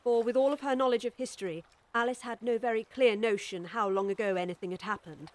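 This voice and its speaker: A woman tells a story as an audiobook narrator. Her voice sits fairly high, and the delivery is scripted storytelling. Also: quick pace.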